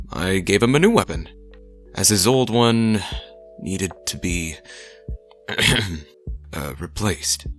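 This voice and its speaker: A woman voicing a reserved, practical man who speaks in a measured, dry and formal way in an audio roleplay. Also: rumbling low, a bit bright, voice pushed down, full character voice.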